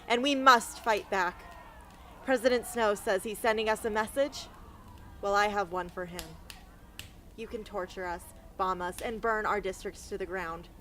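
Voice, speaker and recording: A woman's voice: mid-range voice, fairly even intonation, moderate pace, fairly bright tone, a little hiss or hum, natural voice. A woman acting a defiant, earnest young rebel heroine in an amateur radio drama. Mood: defiant, impassioned.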